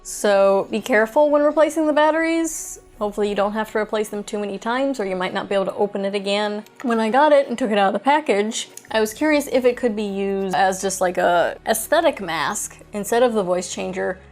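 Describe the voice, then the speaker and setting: A woman's voice: medium pitch, fairly quick, hardly any pauses, a little mellow, faint music behind. A woman giving a casual, chatty product review, thinking aloud as she demonstrates. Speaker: toy reviewer, host.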